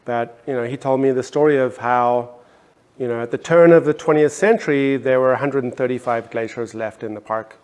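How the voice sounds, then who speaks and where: A man's voice: medium pitch, brisk, darkish tone, clean tone. A middle-aged man from South Africa with a South African accent, speaking on an art panel in a hesitant way, with many ums and restarts. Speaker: panelist artist. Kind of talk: casual panel talk.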